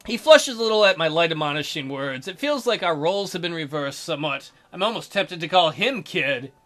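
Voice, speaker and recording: A man's voice: fairly high pitch, lively intonation, fairly quick, sharp tone. A man reading visual novel narration aloud as a dramatic let's play narrator, with music behind him.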